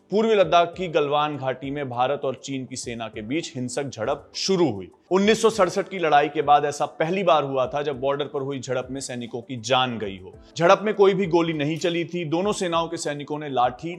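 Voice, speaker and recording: A man reading a scripted news explainer as a narrator. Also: on the high side.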